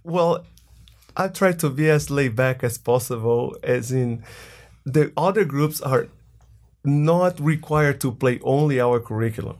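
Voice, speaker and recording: A man's voice: medium pitch, measured pace, darkish tone. A man who leads a drumming program, speaking in an interview conversation and posing rhetorical questions to a guest. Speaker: music program leader.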